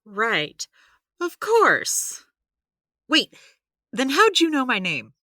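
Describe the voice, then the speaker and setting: A woman's voice: sits high, huge pitch swings, extremely slow, sharp tone, no room sound, studio quality, heavily acted. A woman playing a wry, analytical supernatural healer who talks precisely and skeptically in an audio drama. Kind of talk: scripted audio drama dialogue.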